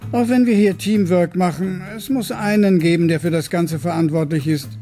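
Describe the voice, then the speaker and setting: An actor playing a tactful male boss in a radio drama, speaking in a measured, authoritative way. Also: high-pitched, warm tone, faint music behind. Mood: firm, tactful.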